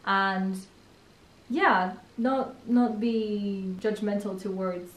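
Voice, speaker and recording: A woman's voice: mid-range voice, fairly even intonation, drawn-out words. A young woman from Spain hosting a casual chat, talking with lots of fillers and laughing easily. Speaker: channel host. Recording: echoey room.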